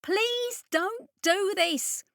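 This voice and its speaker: A woman voicing a tough engineer who pleads loudly and insistently. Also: very high-pitched, extremely slow, vocal fry, no echo, studio quality, voice pushed up, full character voice. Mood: pleading.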